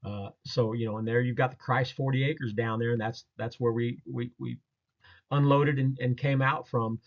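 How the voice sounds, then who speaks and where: A man hosting his own video, talking casually and storytelling as he explains pictures to viewers, in a rambling, halting way with repeated words. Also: medium pitch, steady tone, muffled recording. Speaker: host of Bigfoot research channel.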